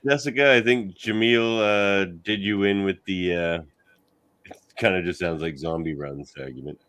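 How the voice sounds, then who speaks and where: A man casually hosting and judging a comedy panel debate, speaking in a somewhat halting way with repeated starts. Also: low-pitched, a bit bright. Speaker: debate show host and judge.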